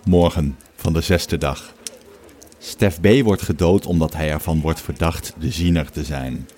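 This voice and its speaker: A man's voice: rumbling low, fairly even intonation, unhurried, a little mellow, slight background noise. A man reading scripted narration as the narrator of an audio play. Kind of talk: scripted narration of game events and stage directions.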